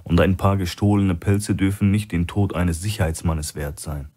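A man's voice: sits low, steady tone, normal speed, darkish tone. A man voicing a big, blunt, sceptical male operative in a thriller audiobook. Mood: blunt, wary.

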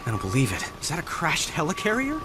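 A man's voice: fairly high pitch, huge pitch swings, fast talker. A man voicing a young, sarcastic superhero in a video game, brave and exasperated. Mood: frantic, defiant. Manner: sarcastic, quippy. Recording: loud game music behind.

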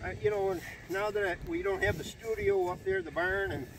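A man's voice: high voice, fairly even intonation, a little fast, a little hiss or hum, low-quality recording. A man in his seventies chatting plainly about the repair work he is doing on a hangar roof outdoors, picked up by a camera some way off. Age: 70s. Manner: plainspoken, explains his handiwork while working outdoors. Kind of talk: casual chat while working. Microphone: filmer's camera some distance away.

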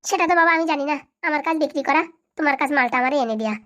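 A bossy village matriarch in a comic cartoon, acted by a woman. Her voice is high and races along at breakneck speed. She blusters, boasts and threatens like someone used to being obeyed. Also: very fast, fairly bright tone. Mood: bossy, bargaining.

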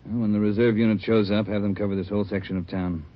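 An actor playing a brisk, commanding police chief, clipped and authoritative, in old radio drama style on a noisy vintage recording. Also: male voice, lowish voice, flat delivery, muffled recording, natural voice. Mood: urgent. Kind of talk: 1940s-50s radio acting.

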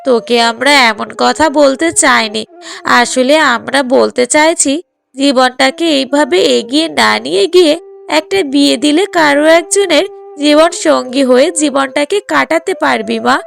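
Woman voicing cartoon village mother, middle-aged, high-pitched, gravelly edge, coaxing, fretful, affectionate. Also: moderate pace. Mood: coaxing, worried. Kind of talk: cartoon drama voice acting.

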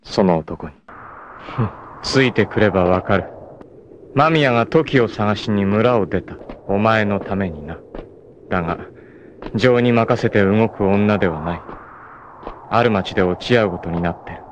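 A proud martial arts warrior from an eighties anime, played by a male actor, speaks in a lowish male voice. His delivery is cool, sardonic and dramatic. The old soundtrack carries clear background noise. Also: a little slow, long pauses, lo-fi sound, lightly acted. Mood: grave, cool. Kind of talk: 1980s anime voice acting. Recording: noisy 1980s anime soundtrack.

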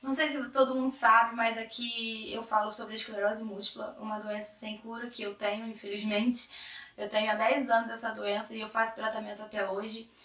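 A young Brazilian woman with a hoarse cold voice, chatting to camera as a vlogger in a chatty, self-interrupting way. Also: a little high, level tone, brisk, bright, forceful tone, distant mic. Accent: Brazilian Portuguese. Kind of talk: casual chat to camera. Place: small room indoors. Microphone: camera in front at medium distance. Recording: echoey room, a little off-mic.

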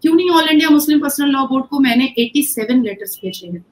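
A woman's voice: high-pitched, expressive, fast. Earnest woman guest on a video call. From India. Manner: earnest, insistent, with frequent restarts. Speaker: interview guest, activist. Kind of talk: online video-call interview. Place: indoor home room, video call.

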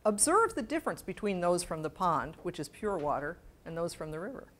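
Older female lecturer, slightly low voice, measured and precise when reading, informal in asides. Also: big pitch swings, a bit bright. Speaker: guest lecturer. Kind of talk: public lecture with letters read aloud. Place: medium-sized library meeting room with seated audience. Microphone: lapel mic.

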